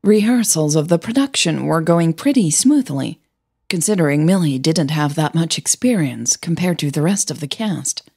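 A woman's voice: sits low, expressive, moderate pace, a little mellow, breathy edge. A woman narrating a scripted audio story as a podcast host.

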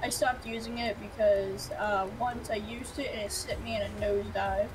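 A woman's voice: medium pitch, fairly even intonation, normal speed, over music. A woman playing a flight simulator with friends, giving brief, defensive replies in casual chat. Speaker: flight sim player on a call. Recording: distant mic with clear noise.

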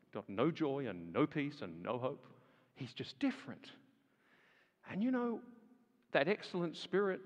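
A man preaching a sermon, firing rhetorical questions and swinging from loud emphasis to hushed lines. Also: mid-range voice. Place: large church hall. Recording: long reverb of a large hall.

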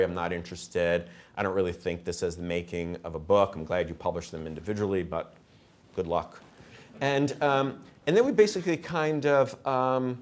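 A man telling anecdotes informally to an audience in a halting, wry, self-deprecating way. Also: mid-range voice. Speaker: author and professor speaking at a reading. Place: wood-panelled auditorium stage. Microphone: podium microphone and lapel mic.

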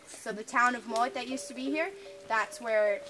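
A woman's voice: a little high, fairly even intonation, normal speed, over music. A young woman, a park summer worker, guiding a group through a grave site at night in a casual, chatty way with fillers, recorded outdoors by a camera a few metres away. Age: young adult. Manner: chatty, with fillers and small laughs. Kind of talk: casual tour-guide talk to a group.

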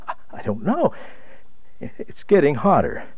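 A man's voice: fairly high pitch, wildly swooping pitch, measured pace, breathy edge, no room sound, lo-fi sound, exaggerated voice. An actor playing a nervous, weary divorced man with a hesitant delivery in a radio drama. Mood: frightened, panicky. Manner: hesitant, stammering.

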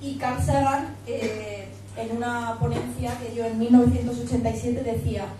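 A woman's voice: a little high, level tone, moderate pace, noisy background. Young woman speaking in an echoey pub. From Spain. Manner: friendly, chatty, with many fillers. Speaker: book author and speaker. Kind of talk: public talk presentation. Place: pub event room. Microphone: handheld microphone. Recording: echoey room, far camera.

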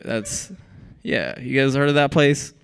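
A man's voice: mid-range voice, unhurried, creaky. A young man from Iraq performing a wry stand-up set on a small club stage, holding a handheld mic. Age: young adult. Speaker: stand-up comedian.

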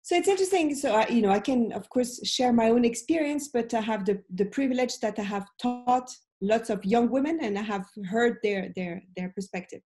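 Mellow-voiced French woman in her forties. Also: fairly high pitch, brisk. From France. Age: late 40s. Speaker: business professor, interviewee. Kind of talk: conversational interview answers. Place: indoor room at home. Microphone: video call, computer microphone.

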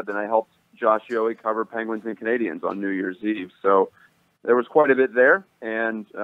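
A man's voice: on the low side, dry recording. A man calling in as a sports reporter, talking steadily and in detail. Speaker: sports reporter, phone guest. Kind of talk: sports commentary over the phone. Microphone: phone. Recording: tinny phone line.

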